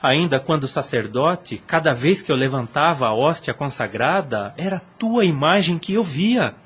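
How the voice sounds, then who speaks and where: A man's voice: on the high side, lively intonation, normal speed, a bit bright, husky, heavily acted. A Brazilian man playing a lovesick former priest in an audio drama, pleading insistently in formal, impassioned speech. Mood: anguished, pleading.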